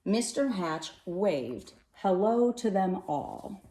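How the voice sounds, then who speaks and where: A woman reading a children's picture book aloud in an expressive, animated way, with dramatic pauses and emphasis. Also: medium pitch. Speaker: storybook reader. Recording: roomy, echoey sound.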